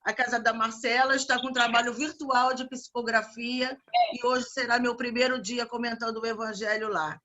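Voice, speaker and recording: A woman's voice: a little high, steady tone, normal speed, dull audio. A woman speaking casually in an online spiritual meeting, an organizer who talks with frequent restarts. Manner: warm religious farewells, many restarts. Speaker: event organizer and evangelizer. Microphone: video call.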